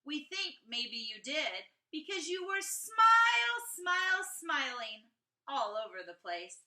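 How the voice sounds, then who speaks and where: Bright, echoey, gushing schoolboy read by a woman. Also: sits high, sing-song, pitched above the performer's own voice, full character voice. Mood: grateful, gushing. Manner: emphatic, halting repetition of words. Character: earnest, gushing schoolboy writing journal poems and letters. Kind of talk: expressive storybook read-aloud. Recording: echoey room.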